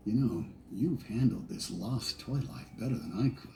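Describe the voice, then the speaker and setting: An actor voicing an earnest, loyal cowboy doll who speaks in a pleading, heartfelt way. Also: male voice, lowish voice, lively intonation, normal speed, vocal fry, warm tone, a little hiss or hum.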